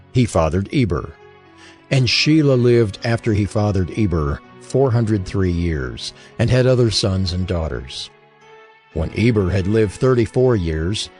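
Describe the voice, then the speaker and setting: Male narrator for scripture, lowish voice, formal and measured, wide intonation, soft music beneath. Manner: solemn, measured, formal reading. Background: faint music.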